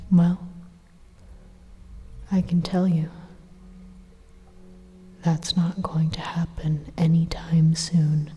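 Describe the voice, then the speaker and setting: A woman playing an evil supercomputer AI inside the listener's mind, whispering softly and deliberately in a calmly menacing ASMR roleplay. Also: on the low side, exaggerated voice. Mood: calm, condescending. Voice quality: breathy, soft whisper. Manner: slow, deliberate, coaxing, quietly threatening. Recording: long echoing reverb on close whisper.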